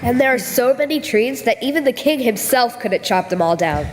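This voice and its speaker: A woman's voice: a little high, expressive, moderate pace, natural voice. A woman as an earnest village child, reverberant hall. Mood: hopeful, eager. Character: earnest village child who loves apple treats. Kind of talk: amateur readers theater. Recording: reverberant hall, faint background noise.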